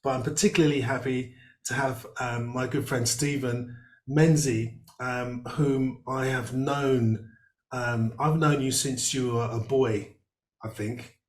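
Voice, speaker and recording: A man's voice: medium pitch, fairly even intonation, slow. Chatty man hosting, echoey room. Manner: chatty, rambling with many fillers. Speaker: host. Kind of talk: casual video-call interview. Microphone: video call.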